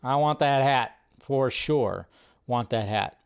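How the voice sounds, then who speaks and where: A man hosting a casual live commentary show, excitable and chatty, with frequent fillers, restarts and mild swearing. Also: medium pitch, slow pace, vocal fry, clean tone. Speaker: show host.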